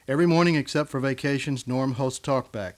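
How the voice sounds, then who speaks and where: A man's voice: mid-range voice, a little fast. An American man acting as guest host and interviewer in an informal radio interview.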